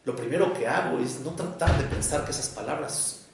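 Echoey distant recording, Mexican man, gravelly voice, deliberate didactic lecturer, emphatic pauses. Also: on the high side, background noise. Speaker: lecturer on psychoanalysis. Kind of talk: prepared public lecture. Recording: echoey room, distant microphone.

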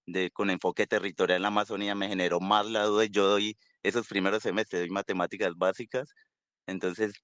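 A man, a university lecturer explaining his work in a radio interview in a conversational way, filling pauses with "pues". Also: on the low side, a bit bright, no echo.

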